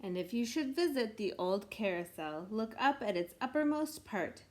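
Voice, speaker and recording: A woman reading a rhyming picture book aloud in an expressive, measured storytelling way meant for children. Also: fairly high pitch. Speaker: storybook reader.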